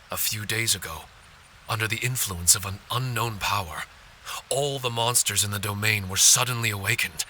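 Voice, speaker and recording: A man's voice: fairly low pitch, sharp tone, slight background noise, poor audio. A man playing an earnest, apologetic amnesiac spirit guardian in a video game, speaking formally and politely.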